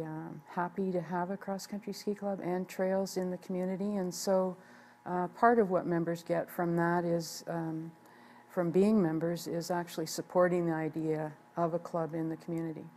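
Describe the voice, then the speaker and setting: A woman in her sixties, a ski club president, talking in a measured way with frequent fillers in a seated interview indoors. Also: lowish voice, mellow. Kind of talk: on-camera interview. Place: indoor room by a wood stove.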